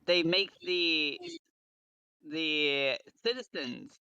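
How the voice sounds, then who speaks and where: A man's voice: on the high side, very slow, sharp tone, clean tone, lo-fi sound. A man chatting casually over a voice call, explaining things in a matter-of-fact way. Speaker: multiplayer pilot guest.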